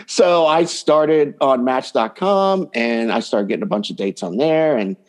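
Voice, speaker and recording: Man chatting casually as podcast guest, medium pitch. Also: expressive, unhurried. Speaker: dating coach, podcast guest. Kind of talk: casual interview chat.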